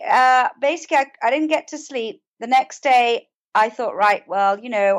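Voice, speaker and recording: A middle-aged woman with a British accent, giving a conversational personal account as an interview guest. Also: on the high side.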